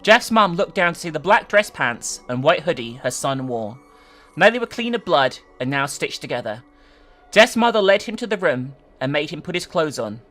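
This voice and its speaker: Bright, animated woman reading a story dramatically. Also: low voice, normal speed. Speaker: narrator and commentator. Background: faint music.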